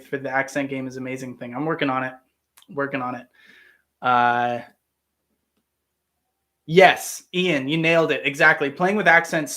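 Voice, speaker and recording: Man, standard American accent, from Ohio, slightly high pitch, rambling enthusiastic livestream chat, home studio. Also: pushed and bright. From Ohio, United States. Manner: enthusiastic, rambling, many fillers and restarts. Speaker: actor hosting a live Q&A.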